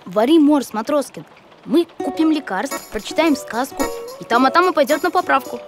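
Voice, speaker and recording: A woman's voice: high-pitched, expressive, moderate pace. A woman voicing a sensible, serious young boy in a cartoon, earnest and bookish. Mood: worried, firm. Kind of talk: animated cartoon acting. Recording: music behind the voice.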